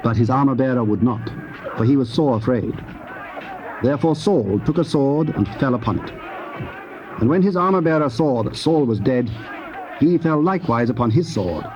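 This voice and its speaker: A man narrating scripture in a solemn, measured and formal manner. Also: medium pitch. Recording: dull, hissy old cassette tape.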